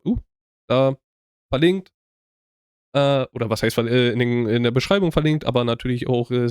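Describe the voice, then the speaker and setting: A young man speaks straight to his viewers in a loose, rambling way, full of fillers, restarts and relaxed slang. His voice is smooth and resonant and sits at an ordinary pitch. The sound is close and dry. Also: no room sound. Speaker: YouTube reaction channel host. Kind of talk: casual talk to viewers.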